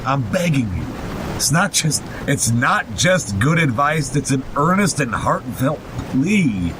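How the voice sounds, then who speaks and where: A man's voice: sing-song, a little slow. A man co-hosting a podcast, reading and picking apart a horror story in a casual, exasperated, sweary way. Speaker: podcast co-host. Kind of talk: casual comic commentary on a horror story read aloud. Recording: loud low rumbling background noise.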